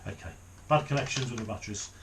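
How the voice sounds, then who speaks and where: A middle-aged man giving casual unboxing commentary, thinking aloud in an unhurried way as he handles things at a desk in a small room. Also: fairly low pitch, fairly bright tone, slight background noise. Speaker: hobbyist reviewer. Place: small home office, indoors.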